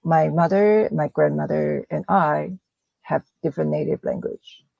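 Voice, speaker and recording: Gravelly woman, mellow voice. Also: low voice, dry recording, muffled recording. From Taiwan. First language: Mandarin. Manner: halting, restarts phrases often. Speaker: guest writer. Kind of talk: casual interview chat.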